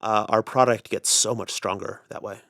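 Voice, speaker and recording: A man being interviewed as an audio producer, explaining casually and haltingly, often pausing mid-sentence. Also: lowish voice, fairly even intonation, vocal fry, fairly bright tone, no echo, clean studio sound.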